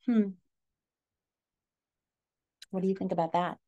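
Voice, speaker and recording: Middle-aged American woman reading aloud expressively. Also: mid-range voice. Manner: expressive, questioning, pausing to address young listeners. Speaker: read-aloud presenter. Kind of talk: picture book read-aloud with teacher-like asides and questions. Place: living room, indoors.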